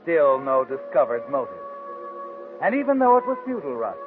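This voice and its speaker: An actor playing a sinister, all-knowing narrator in a 1940s radio mystery, speaking dramatically and tauntingly to the protagonist. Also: male voice, medium pitch, very animated, fairly quick, natural voice. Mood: ominous, taunting. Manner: dramatic, taunting second-person delivery. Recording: muffled old radio, music underneath.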